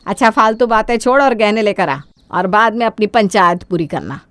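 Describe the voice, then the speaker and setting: A woman voicing a greedy, domineering older mother-in-law in a cartoon story, with sharp-tongued commands. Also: mid-range voice, moderate pace, dry recording, lightly acted. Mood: scolding.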